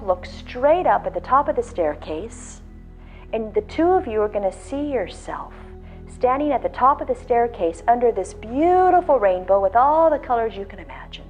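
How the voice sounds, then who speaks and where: A woman leading a guided visualization, speaking slowly and soothingly with soft pauses. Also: on the high side. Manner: slow, soothing, drawn-out phrasing with soft pauses. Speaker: guided meditation leader. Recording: slightly dull lo-fi audio with faint background music.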